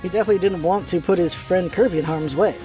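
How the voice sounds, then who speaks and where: A woman's voice: fairly low pitch, quick pace, mellow, soft background music. A woman reading scripted storybook narration as the narrator of a radio play.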